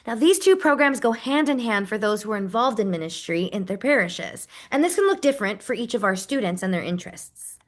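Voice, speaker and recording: A woman's voice: mid-range voice, animated, fairly quick, few pauses, close to an everyday voice. A woman playing a friendly, grateful college program associate, chatting enthusiastically in a first-person stage narrative. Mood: earnest, passionate. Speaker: performer portraying a university program associate. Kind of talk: dramatized first-person interview narrative, conversational.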